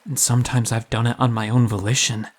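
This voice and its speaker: A man playing a wounded, self-doubting young man in an audio drama, speaking hesitantly and confessionally in a breathy, husky voice. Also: mid-range voice, level tone, a little fast, close to an everyday voice. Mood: pained, guilty.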